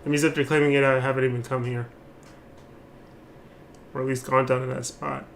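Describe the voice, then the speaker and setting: A man's voice: medium pitch, flat delivery, brisk, creaky, a bit bright, a little hiss or hum. A man doing casual, joking live commentary as he streams a video game. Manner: informal, joking. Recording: game ambience behind the voice. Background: video game sound.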